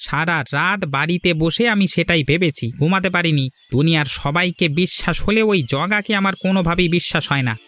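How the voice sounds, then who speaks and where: A man's voice: high voice, fairly quick, few pauses, a bit bright, no room sound. A man voicing a cautious village fisherman who earnestly warns his friend in a cartoon. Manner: earnest, warning. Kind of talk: cartoon voice acting.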